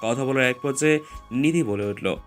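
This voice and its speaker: A man's voice: mid-range voice, moderate pace, faint music behind. A man reading scripted story narration as the narrator.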